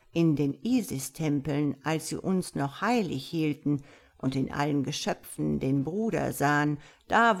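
A woman voicing a wise, patient tomcat in a storybook, speaking in a measured, gently instructive and slightly pompous way. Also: sits low, vocal fry, pitched below the performer's own voice, exaggerated voice. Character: wise, patient male tomcat, calm mysterious mentor. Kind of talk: storybook character voice.

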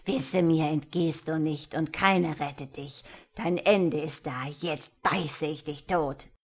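A woman's voice: sits low, takes it slowly, full character voice. A woman storyteller plays an old witch from a fairy tale. She is spiteful and greedy. She scolds and threatens with real menace. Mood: vengeful, menacing.

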